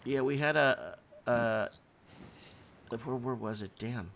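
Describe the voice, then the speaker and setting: A man's voice: medium pitch, takes it slowly, creaky, fairly bright tone, a little hiss or hum. A man hosting a casual live chat, speaking informally and directly to his viewers. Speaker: livestream host.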